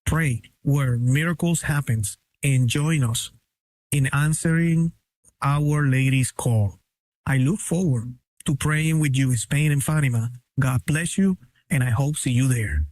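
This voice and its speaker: A man delivering a scripted promotional invitation to a pilgrimage, with deliberate, drawn-out phrasing. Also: mid-range voice, a little mellow, no room sound.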